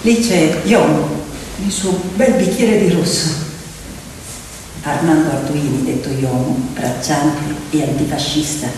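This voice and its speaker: A woman giving a dramatic theatrical narration in short, measured phrases with repetition. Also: deep voice, background noise. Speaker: stage storyteller and actress. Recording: echoey hall, distant mic, loud music. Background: piano music.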